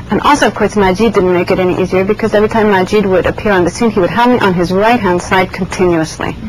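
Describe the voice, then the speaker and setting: A woman who grew up in Iran, being interviewed and recalling her past in a reflective, hesitant way, with many pauses and restarts. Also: medium pitch, slight background noise, low-quality recording. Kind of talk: oral history interview.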